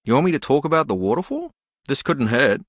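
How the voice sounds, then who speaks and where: An actor playing a slow-witted, good-natured ex-rugby player in a video game, earnest, literal and befuddled. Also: male voice, medium pitch, big pitch swings, dry recording, clean studio sound.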